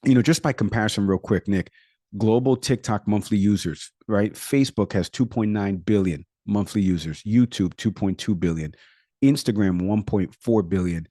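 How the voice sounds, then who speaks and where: A Puerto Rican man co-hosting a podcast, his voice low and mellow. He talks casually, piling up figures between "uh"s and "you know"s. The sound is close and dry. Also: normal speed, no room sound. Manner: conversational, rattles off statistics with frequent fillers. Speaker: podcast co-host; product manager.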